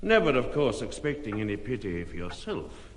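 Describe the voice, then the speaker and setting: Bitter old philosopher, cavernous reverb. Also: male voice, fairly low pitch, sing-song. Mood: weary contempt. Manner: measured, sardonic, aphoristic. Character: bitter, world-weary elderly philosopher father dispensing cool contempt. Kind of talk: audio drama acting. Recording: long hall reverb, clear noise, clicks.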